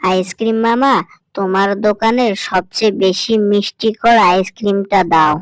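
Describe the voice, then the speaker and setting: A woman's voice: medium pitch, steady tone, moderate pace, smooth, no room sound, lightly acted. A woman voicing a little boy in a cartoon who whines and begs for ice cream. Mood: pleading. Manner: whiny, wheedling child voice. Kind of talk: cartoon voice acting.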